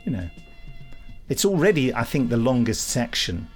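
A middle-aged British man hosts a casual podcast. His voice sits at an ordinary male pitch and he rambles in a jokey, self-mocking way. Music plays under the talk. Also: big pitch swings, normal speed. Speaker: podcast host. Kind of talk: casual chat.

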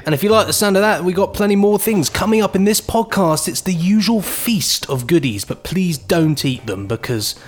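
A man's voice: high-pitched, lively intonation, normal speed, few pauses. A man with a British accent chatting casually and playfully as a podcast co-host. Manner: jokey, playful. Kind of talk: casual scripted-and-ad-libbed chat.

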